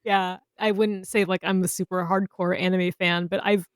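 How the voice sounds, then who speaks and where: A woman's voice: on the low side, flat delivery, moderate pace, no echo, clean studio sound. A woman podcast host in a casual, conversational chat.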